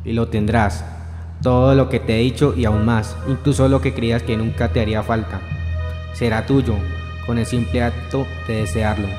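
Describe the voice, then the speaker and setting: Devilish vagabond tempter , male actor, radio play. Grandiose, persuasive, monotone delivery, with music underneath. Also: mid-range voice, moderate pace, natural voice. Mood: tempting, solemn. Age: young adult. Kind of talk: student radio drama reading. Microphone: shared handheld microphone. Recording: echoey hall-like room, music behind.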